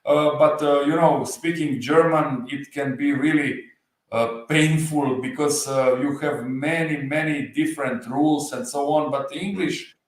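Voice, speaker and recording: A man in his forties from Slovenia, a native Slovenian speaker, chatting as a livestream guest and pausing often to find his English words. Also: on the high side, steady tone. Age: 40s. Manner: halting, searching for English words. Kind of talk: casual livestream chat. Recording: roomy echo.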